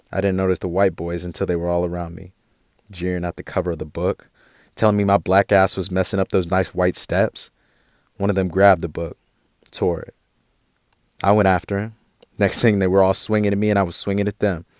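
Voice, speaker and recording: A man's voice: low voice, a little mellow, no room sound, studio quality. A man playing a hurt, defiant younger brother in a naturalistic audio drama, with an American accent and brooding, slangy speech.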